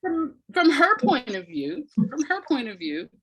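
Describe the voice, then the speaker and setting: A woman's voice: sits high, huge pitch swings, measured pace, a bit bright, low-quality recording. A woman from the United States speaking as an interview guest, explaining things conversationally and thoughtfully, often searching for the right word and stressing key points. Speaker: interview guest, audio drama writer.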